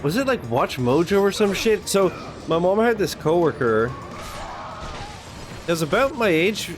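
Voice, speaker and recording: A man hosts a reaction video in a slightly high voice. He rambles casually, full of slang and swearing, with clearly audible game music behind him. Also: big pitch swings, measured pace. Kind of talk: casual reaction chat with viewers.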